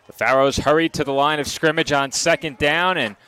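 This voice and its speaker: Play-by-play man on a headset: bright, cutting voice, brisk and exact, dry quips. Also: a little high, steady tone, clear, resonant tone. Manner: brisk, precise, lightly wry. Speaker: play-by-play announcer. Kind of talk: live football play-by-play.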